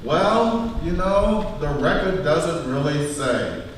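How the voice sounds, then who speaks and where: Man acting as folksy witness, fairly high voice, slow, hesitant, conversational, church drama, heavy echo. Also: animated. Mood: thoughtful, hesitant. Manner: halting, conversational. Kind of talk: acted interview in live church drama. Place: church hall, recorded live. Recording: very echoey hall, distant mic, faint noise.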